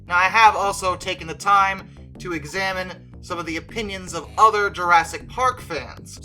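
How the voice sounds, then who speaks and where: A woman's voice: lowish voice, pushed and bright, rough recording. A woman talking conversationally through an opinionated fan review, with emphatic stress on key words. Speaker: video creator reviewing films as a fan.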